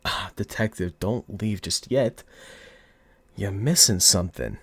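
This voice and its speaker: A man playing a cocky, flirty, smug mafia boss in an audio roleplay, with a put-on gangster accent and a teasing, drawn-out seductive delivery. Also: fairly low pitch, breathy edge, no echo. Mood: coy, playful. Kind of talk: ASMR audio roleplay acting.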